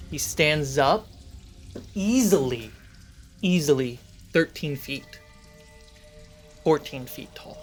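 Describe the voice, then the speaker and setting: A man narrating a tabletop fantasy game as game master, in a measured, suspenseful way with dramatic pauses. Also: on the high side. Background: cinematic music score.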